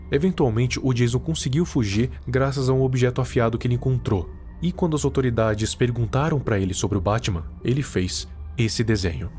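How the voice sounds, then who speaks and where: A man's voice: medium pitch, a little mellow. A man with a Brazilian accent giving scripted narration with casual, conversational asides. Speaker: video essay narrator.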